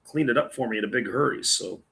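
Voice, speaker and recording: A man calling a live baseball game play by play in a relaxed, chatty way, reading viewer comments between pitches, his voice going raspy. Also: fast. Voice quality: gravelly. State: voice getting raspy.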